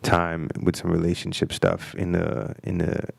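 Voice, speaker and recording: A man's voice: low voice, level tone, measured pace, creaky, no echo. A middle-aged man telling his own story candidly as a guest on a casual radio interview, often repeating words as he builds a point. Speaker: interview guest, fitness trainer.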